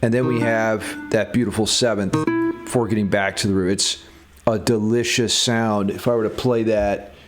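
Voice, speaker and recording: A man's voice: fairly low pitch, fairly even intonation, normal speed. A man giving a casual, informal music theory lesson as a guitar instructor, thinking aloud as he demonstrates. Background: electric guitar playing.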